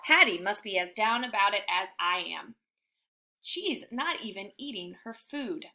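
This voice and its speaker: A woman reading a children's book aloud in an animated, emphatic storytelling style. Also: mid-range voice, unhurried. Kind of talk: expressive children's book narration.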